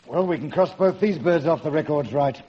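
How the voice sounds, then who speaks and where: An actor playing a stern, sceptical police inspector in a radio drama, brisk and authoritative. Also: male voice, fairly high pitch, dark tone, lightly acted. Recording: dull old radio recording.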